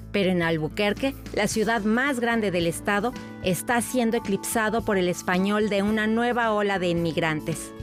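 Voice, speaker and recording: A woman reporter narrates a news story from a script, at a steady and measured pace. Her voice sits in the middle range, and music plays clearly behind her. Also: medium pitch.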